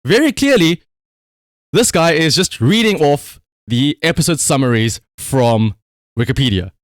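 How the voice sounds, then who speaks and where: A man's voice: fairly high pitch, lively intonation, measured pace, dry recording, studio quality. A man livestreaming casual commentary, telling a story conversationally with rhetorical asides.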